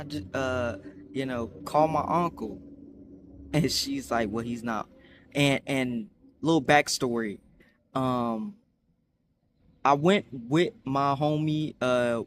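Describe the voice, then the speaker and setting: Rambling young man telling a storytime. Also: slight background noise. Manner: rambling, many fillers and restarts; acts out quotes with high voices. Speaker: vlogger. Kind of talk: casual storytime vlog.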